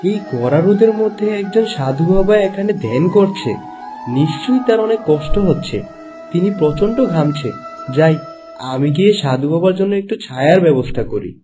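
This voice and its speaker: Man voicing a meek, high-pitched orphan boy. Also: lively intonation, fairly quick, music in the background, lightly acted. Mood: concerned. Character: pleading orphaned boy, outcast, kind-hearted and meek. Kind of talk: Bengali animated fairy-tale acting.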